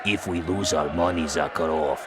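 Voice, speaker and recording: An actor playing a threatening mob boss in a game cutscene, intimidating and deliberate. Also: male voice, extremely low pitch, steady tone. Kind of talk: dramatic video game cutscene acting. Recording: loud ambient noise behind.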